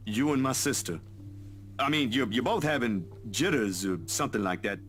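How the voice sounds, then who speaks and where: A man's voice: mid-range voice, normal speed, fairly bright tone, a little hiss or hum, natural voice. An actor playing an easygoing, teasing young male photographer in a visual novel, talking casually and reassuringly to a friend.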